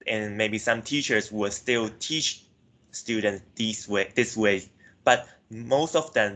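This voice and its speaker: A man's voice: lowish voice, bright, forceful tone. A young man from Myanmar giving a class presentation, hesitant, with frequent self-corrections and filler words. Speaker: student presenter.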